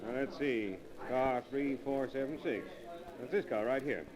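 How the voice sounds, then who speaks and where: Busy crowd sound on an old radio recording surrounds an actor as a train conductor. He is a man of medium pitch, courteous and businesslike, with a polite official manner. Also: a little slow, lightly acted. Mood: polite, helpful. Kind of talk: 1940s radio drama acting.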